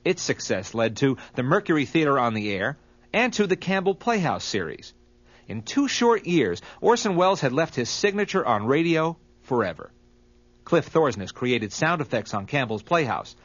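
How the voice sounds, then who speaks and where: A man's voice: medium pitch, very animated, moderate pace, no echo. A man reading scripted narration as the narrator of a documentary. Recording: slightly dull, lo-fi audio.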